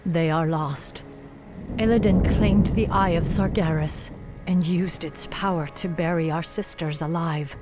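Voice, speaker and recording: A woman's voice: lowish voice, steady tone, a little slow, close to an everyday voice. Woman acting a stern elven warrior leader over music. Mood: urgent, commanding. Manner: solemn, commanding, formal. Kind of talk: dramatic video game cutscene acting.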